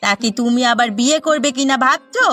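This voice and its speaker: A woman as a high-pitched, sharp-tongued village wife. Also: a little fast, soft background music. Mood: mocking. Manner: sarcastic, scolding. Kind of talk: cartoon dialogue.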